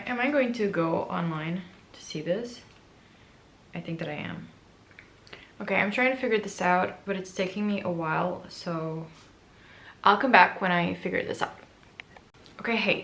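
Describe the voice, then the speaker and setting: A woman in her twenties chatting casually to camera in a book vlog. Her voice is raspy and hoarse from allergies, and she is chatty, excitable and self-mocking. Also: medium pitch, slight background noise. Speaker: book vlogger.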